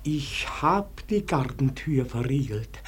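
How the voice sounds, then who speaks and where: A man acting a frightened, deferential servant in a 1940s German radio play, halting and hushed with dread in a husky, breathy voice. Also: medium pitch, lively intonation. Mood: fearful, eerie dread.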